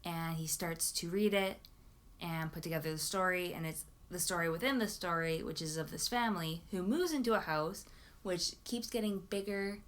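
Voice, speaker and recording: A young woman talking casually to camera as a book vlogger, in an expressive, informal way and often correcting herself. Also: fairly low pitch, moderate pace. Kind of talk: casual chat to camera about books.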